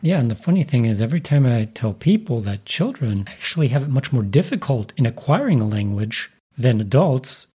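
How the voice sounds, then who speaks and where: A man's voice: mid-range voice, big pitch swings, a little fast, few pauses, mellow, slightly rough. A man hosting a podcast, making an earnest argument before asking his guest a question. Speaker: podcast host. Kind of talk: podcast interview.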